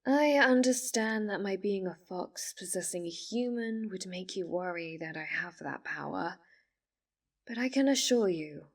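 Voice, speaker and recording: A woman playing a polite, obsessively devoted fox spirit in an ASMR roleplay, speaking in formal, composed phrases with quiet menace. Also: on the low side, recorded from a distance. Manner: formal, measured, composed wording.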